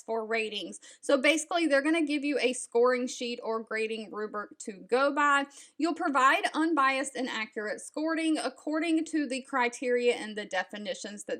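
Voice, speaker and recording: A woman's voice: high voice, a bit bright. An American woman presenting information to her viewers as a video host, in an upbeat, direct manner. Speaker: host of a job-lead video channel. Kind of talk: scripted-sounding presenter talk to viewers.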